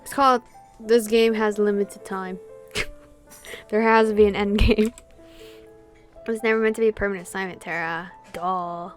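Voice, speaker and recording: A woman's voice: mid-range voice, fairly even intonation, normal speed, pauses often, soft background music. A woman giving blunt, sarcastic, sweary casual commentary as she plays a game. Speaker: gaming YouTuber. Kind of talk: casual let's play commentary.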